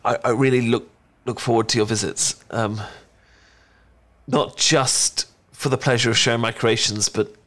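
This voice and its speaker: A man with a British accent playing a shy, smitten young chocolatier in an ASMR roleplay, speaking in nervous, halting stammers. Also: medium pitch, fairly even intonation, a bit bright, close to an everyday voice. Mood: nervous, tender. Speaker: voice actor in an audio roleplay. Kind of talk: ASMR roleplay, one-sided intimate conversation.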